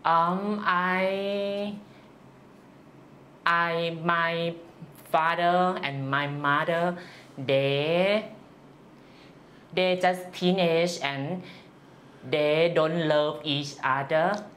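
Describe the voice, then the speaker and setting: An interview guest from northeast Thailand with a Thai accent, giving personal answers in halting, simple non-native English. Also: mid-range voice, fairly even intonation, fairly bright tone, slight background noise.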